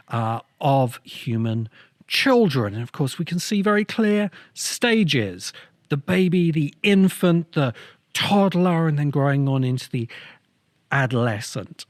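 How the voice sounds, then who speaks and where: A man hosting a talk on ideas, speaking in an essay-like, explanatory style with measured, deliberate phrasing in short bursts. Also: mid-range voice, no echo, clean studio sound. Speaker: host of a science fiction ideas show.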